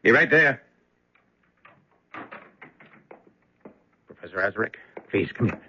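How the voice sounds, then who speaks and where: A man's voice: fairly low pitch, expressive, fast talker, pushed and bright, slight background noise, heavily acted. An actor playing an agitated, impatient young male student in an old-time radio drama, speaking in a blunt, exasperated way. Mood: wary, irritated. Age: young adult. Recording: muffled, roomy old radio recording.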